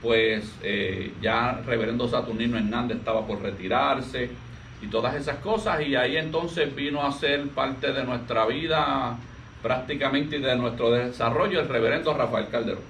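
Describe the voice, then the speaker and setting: Puerto Rican male pastor, 40s, gentle hesitant delivery, distant mic, light background noise. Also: medium pitch. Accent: Puerto Rican Spanish. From Puerto Rico. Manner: soft-spoken, halting, frequent restarts. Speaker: pastor, interview guest. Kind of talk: relaxed conversational interview.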